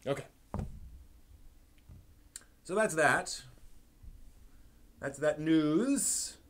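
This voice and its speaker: A man hosting a live stream in a casual, improvised way, thinking aloud with halting phrasing. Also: fairly high pitch, animated, a bit bright.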